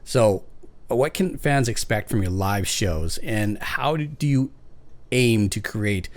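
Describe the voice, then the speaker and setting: A man's voice: lowish voice, slow. A man interviewing a guest as a podcast host, in a conversational style.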